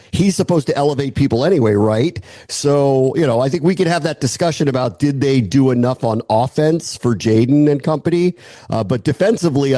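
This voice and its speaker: An American man with a mellow, relaxed voice gives football analysis as a guest. He is conversational and opinionated, often asking himself a question and then weighing it up. His mid-pitched voice is recorded very dry, with little room sound. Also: no room sound. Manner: opinionated, weighing points aloud with rhetorical questions. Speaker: sports analyst, podcast guest.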